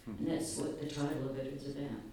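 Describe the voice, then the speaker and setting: A soft-spoken man giving an earnest, halting public talk, often pausing. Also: mid-range voice, slight background noise. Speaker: speaker at a gathering. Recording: very echoey, distant, faint ambience.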